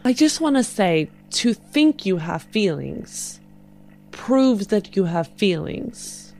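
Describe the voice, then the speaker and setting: A woman's voice: medium pitch, big pitch swings, slow, gravelly, a little mellow, full character voice. A woman playing an earnest, polite but insistent spacer woman with firm morals in a tabletop game. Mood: morally insistent.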